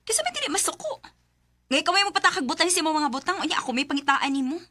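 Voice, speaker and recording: A woman's voice: extremely high pitch, sing-song, rapid-fire, bright, forceful tone, heavily acted. A woman with a Cebuano Filipino accent playing an emotional, stressed young wife in a radio drama. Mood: annoyed.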